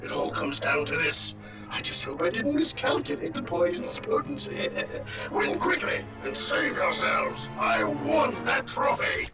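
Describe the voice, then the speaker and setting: A man playing a sinister, fatherly old crime lord in a video game, sly and gloating in a gravelly rasp. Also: high voice, very animated, measured pace, distant mic, over loud music. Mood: gloating, menacing. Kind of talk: video game villain acting.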